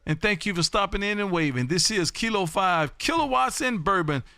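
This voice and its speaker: An American man calling out as an amateur radio live streamer, loud and showy, often repeating his phrases. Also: high voice, animated, normal speed, no room sound. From Texas. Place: home radio room.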